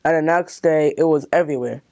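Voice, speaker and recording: A man's voice: a little high, a little fast, a little mellow, no room sound. A young man casually recalling a personal experience in an interview.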